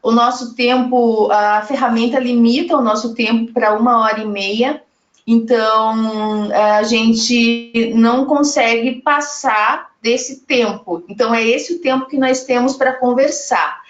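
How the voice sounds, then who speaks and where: A woman's voice: a little high, fairly even intonation, unhurried. A woman from Brazil moderating a live online panel, chatting and reading audience comments aloud over a video call. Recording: echoey, muffled web call audio.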